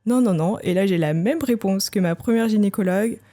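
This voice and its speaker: A woman in her thirties, a podcast guest telling her own story candidly, thinking aloud with frequent hesitant pauses. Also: medium pitch, warm tone. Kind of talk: candid personal testimony.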